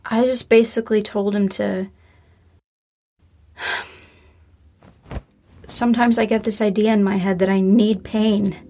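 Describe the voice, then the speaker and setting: A woman's voice: mid-range voice, lightly acted. A young woman acting a sullen, grieving, guarded character in a naturalistic audio drama, curt and sardonic. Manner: flat, curt, sarcastic.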